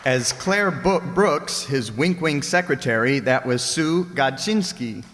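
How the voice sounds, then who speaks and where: A man's voice: mid-range voice, expressive, slow pace, crowd noise. A man announcing a recreated old-time radio show in a scripted style. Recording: echoey hall with noticeable noise.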